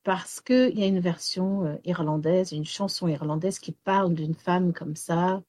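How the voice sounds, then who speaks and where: A woman's voice: lowish voice, unhurried, mellow, no echo, studio quality. A woman raised in Wales, with English as her first language, answering interview questions about tales and songs and hesitating with many fillers. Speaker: storyteller and singer.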